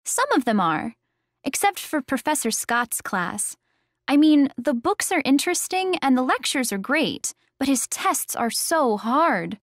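A woman's voice: sits high, very animated, unhurried, dry recording, studio quality. A woman playing a talkative student in a scripted listening-exercise conversation. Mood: candid. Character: talkative female student discussing classes.

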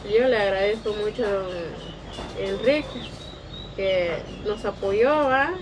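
A middle-aged woman with a Guatemalan Spanish accent, speaking slowly and earnestly in an interview on her patio. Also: medium pitch, noisy background. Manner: slow, halting and earnest, repeats "va". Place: outdoor concrete-block patio of a home. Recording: outdoor sound with birds behind.

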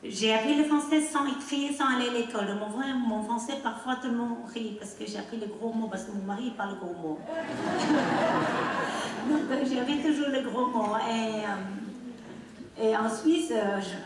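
Middle-aged cambodian-accented woman telling her story on stage, halting and emotional, roomy far-off sound. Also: on the high side, a crowd in the background, background noise. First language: Khmer. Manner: halting, emotional, non-native phrasing, asks the audience "non?". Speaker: speaker on stage. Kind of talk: personal testimony to an audience. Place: dark theatre stage. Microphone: handheld mic. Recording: echoey theatre from far off.

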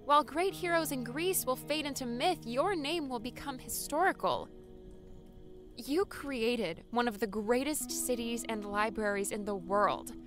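Actress as a young woman, earnest and reassuring, highish voice, audiobook with music under it. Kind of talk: dramatised audiobook dialogue.

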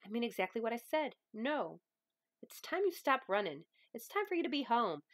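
A woman's voice: on the high side, very animated, moderate pace, no echo, studio quality. A woman reading a novel aloud as a stern, loving grandmother, emphatic, folksy and firm. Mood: firm, tender. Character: stern, loving grandmother giving tough love.

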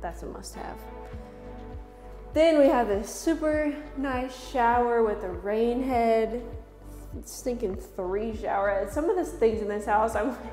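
Young woman vlogger, upbeat house-tour chat, long reverb from bare rooms, soft music under. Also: on the high side. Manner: chatty and upbeat, laughs often. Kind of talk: casual house tour narration. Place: large empty house, indoors. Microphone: camera mic from a few steps away.

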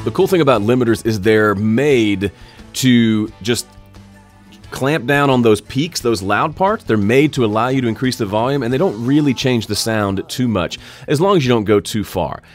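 A man in his thirties with a slightly nasal voice, explaining podcast audio steps in a friendly, even tone, recorded close on a studio mic in his home studio. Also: medium pitch, moderate pace, faint music behind. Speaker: audio tutorial host. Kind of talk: screen-recorded software tutorial. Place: home studio with keyboards and guitars. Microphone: studio condenser mic close up.

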